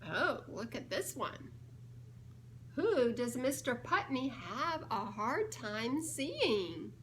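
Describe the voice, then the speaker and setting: A woman's voice: fairly high pitch, sing-song, takes it slowly, fairly bright tone, slight background noise. A woman reading a picture book aloud to young children, playfully coaxing them to guess answers in a giggly manner. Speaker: storybook reader, children's ministry director. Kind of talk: children's story time read-aloud with questions to young listeners.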